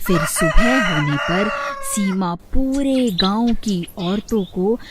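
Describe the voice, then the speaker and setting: A woman reading scripted narration for an animated story. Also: medium pitch, slow, background noise. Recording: faint music behind the voice.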